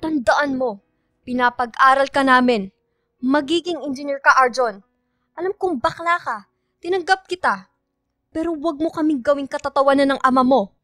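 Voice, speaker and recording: A woman's voice: high-pitched, normal speed, a bit bright, no room sound, clean studio sound, faint music behind. A woman playing a stern, controlling mother in a Filipino radio drama, scolding and commanding.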